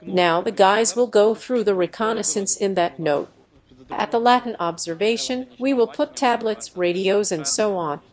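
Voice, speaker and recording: A woman's voice: mid-range voice, normal speed. Synthetic woman's dubbing voice. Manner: even, stiff phrasing with odd word choices. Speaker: AI dub voice for every speaker. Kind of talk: machine-translated voice-over dub.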